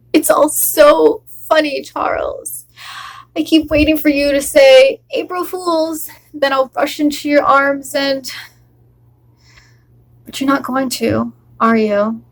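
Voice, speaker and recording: A woman's voice: on the high side, poor audio. Woman performing a sardonic, heartbroken wife. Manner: sarcastic, forced laughter over near tears. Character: wry heartbroken wife refusing a divorce. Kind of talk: theatrical monologue performance.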